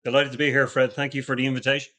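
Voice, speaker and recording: A man's voice: medium pitch, little pitch movement, racing, a bit bright. Middle-aged man, Irish accent, podcast guest, conversational, lots of restarts and fillers. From Ireland. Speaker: podcast guest, company founder. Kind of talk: casual interview talk.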